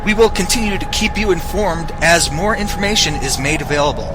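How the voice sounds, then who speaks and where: A man playing a steady eye-in-the-sky radio newsman, reporting formally in a mock live broadcast. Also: fairly high pitch, fairly quick, over loud music, natural voice. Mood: serious, steady. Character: steady helicopter radio newsman covering a zombie outbreak. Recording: loud vehicle and engine noise.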